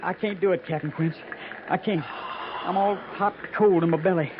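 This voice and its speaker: A man's voice: high voice, fairly even intonation, moderate pace, natural voice. An actor playing a horrified, queasy cavalry trooper in a 1950s radio western, plaintive and pleading with his officer. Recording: muffled, noisy 1950s radio transcription.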